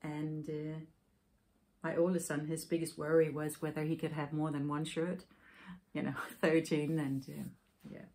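A woman in her forties from Denmark, a native Danish speaker, hosting a knitting podcast and telling a personal story in a thoughtful, halting way with many fillers. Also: sits low, flat delivery, a little mellow. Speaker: podcast host. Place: home room with yarn shelves, indoors.

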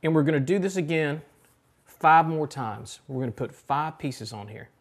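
A man's voice: a little high, moderate pace. Middle-aged man demonstrating home cooking. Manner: relaxed step-by-step instruction with fillers. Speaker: cooking show host. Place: home kitchen, indoors.

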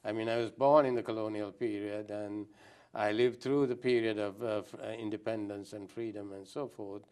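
An older man from Sri Lanka, a guest answering interview questions thoughtfully, with many pauses and restarts. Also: on the low side, steady tone, darkish tone, smooth. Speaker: guest anthropologist.

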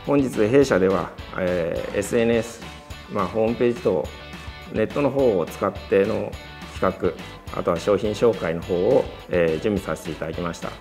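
A man's voice: on the low side, normal speed, darkish tone, faint music behind. A middle-aged man giving polite, somewhat hesitant interview remarks as a company representative. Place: school meeting room indoors.

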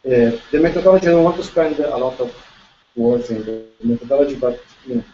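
A middle-aged man from Italy with a strong Italian accent, presenting his research in a halting way, restarting and repeating words. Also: medium pitch, warm tone, slight background noise. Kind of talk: lecture presentation. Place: lecture room with projector screen. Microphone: far room camera. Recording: echoey room, distant and dull.

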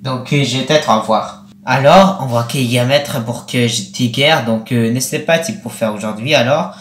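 A young man in his late teens speaking casually for a vlog, in a rambling, repetitive and halting way. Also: medium pitch. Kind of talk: casual vlog with acted-out conversations. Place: small attic bedroom, indoors. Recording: roomy, echoey webcam-style recording.

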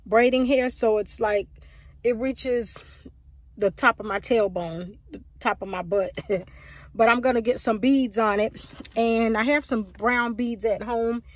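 A woman's voice: a little high, measured pace. Chatty woman vlogging from her car. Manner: rambling, animated, talks with her hands. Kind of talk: casual chatty vlog. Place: parked car, indoors. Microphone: phone at arm's length.

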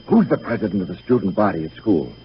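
An actor playing a young dead soldier son in a 1930s radio drama, breezy and gentle, speaking in a boyish, coaxing, informal way. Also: male voice, low voice, sing-song, quick pace. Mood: chatty. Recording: muffled, noisy 1938 radio broadcast.

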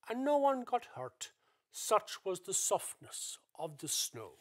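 Male narrator, high pitch, very unhurried, dramatic scripted radio play storytelling.

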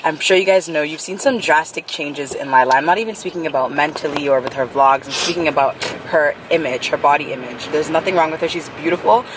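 A woman's voice: low voice, fast, hardly any pauses. Young woman, playful banter, handheld camera in car, audible hum. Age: young adult. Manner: animated, teasing, loud laughs, slips into pidgin. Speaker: vlog guest, friend. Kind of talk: casual chat. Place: parked car. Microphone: camera held at arm's length. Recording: car with noticeable background hum.

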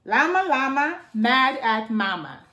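A middle-aged woman reading a rhyming picture book aloud to children in a slow sing-song way, filmed indoors at home by a camera a few feet away. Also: sits high, very animated, bright, forceful tone. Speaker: storytime reader. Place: living room by a staircase, indoors. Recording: echoey room.

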